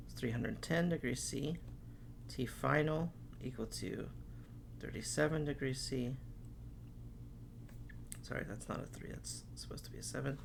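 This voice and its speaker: Gravelly-voiced man losing his voice. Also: mid-range voice, slight background noise. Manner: talks through problems step by step, saying okay often. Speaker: chemistry teacher. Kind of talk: recorded classroom lecture.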